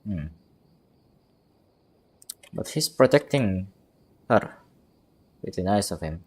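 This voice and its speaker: A man who is not a native English speaker, giving casual live reactions as a game streamer, thinking aloud in halting, broken phrases. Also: deep voice, lively intonation, darkish tone.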